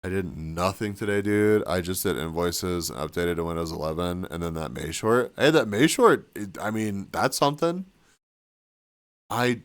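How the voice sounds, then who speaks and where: A man with a deep, low voice talks loosely to his live stream chat, rambling and trailing off. He sounds sniffly and a little hoarse, like he's losing his voice. The sound is dry and close. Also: no room sound. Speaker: livestreamer. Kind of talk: casual chat with stream viewers. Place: cabin room.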